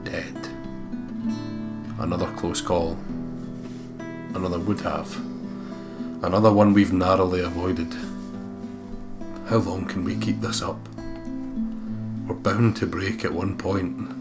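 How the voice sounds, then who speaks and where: A man's voice: low voice, fairly even intonation, slow pace, long pauses, music in the background. A man reading a horror visual novel aloud as a dramatic, brooding first-person narrator. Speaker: let's player reading the game aloud.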